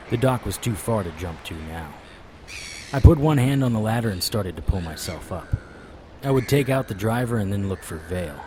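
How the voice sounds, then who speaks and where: Guy acting a low voiced noir detective, weary n wry, terse deadpan delivery, noisy effects behind him. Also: close to an everyday voice. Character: hard-boiled male private investigator, weary and wry. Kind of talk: noir audio drama narration and dialogue.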